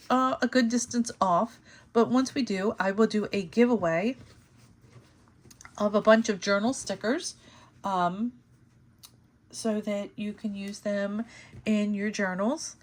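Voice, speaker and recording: A woman hosting her channel, giving a casual tarot reading to camera, slightly hoarse from losing her voice, thinking aloud with many fillers and restarts. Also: a little high. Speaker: tarot reader and channel host.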